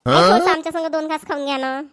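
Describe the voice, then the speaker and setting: A woman's voice: sits high, expressive, fast, fairly bright tone, dry recording, clean studio sound. A woman voicing a caring young daughter-in-law in a family cartoon, coaxing and attentive, with a Varhadi Marathi accent. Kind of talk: cartoon family comedy voice acting.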